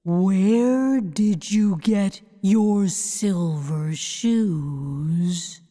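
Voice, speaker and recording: Fields: Figure: a woman doing the Wizard, a mysterious giant head. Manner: slow, whispery. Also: mellow, big-room sound. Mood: commanding. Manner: slow, low, half-whispered menace.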